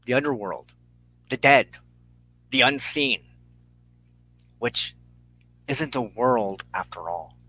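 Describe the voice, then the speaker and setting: A man preaching an impassioned monologue as a spiritual show's host, emphatic and deliberate, with dramatic pauses and repeated phrases. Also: medium pitch, animated, pushed and bright, dry recording, poor audio.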